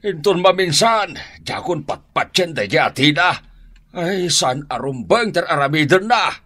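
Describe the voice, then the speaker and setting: A man playing a gullible, excitable village husband in an Ilocano radio comedy drama. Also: a little high, a little slow, husky, exaggerated voice. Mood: flustered.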